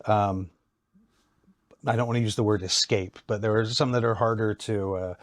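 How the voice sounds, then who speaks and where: American man explaining with measured hesitation. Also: fairly low pitch, steady tone. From Ohio, United States. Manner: measured, hesitant with frequent ums and restarts. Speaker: psychology lecturer, guest. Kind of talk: explanatory academic talk.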